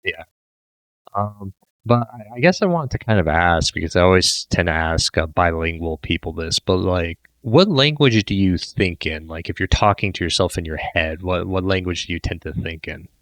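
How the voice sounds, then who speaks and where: A man hosting a podcast, asking rambling, filler-heavy questions in a relaxed chat. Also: deep voice, moderate pace, no echo. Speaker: podcast host. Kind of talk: casual interview chat.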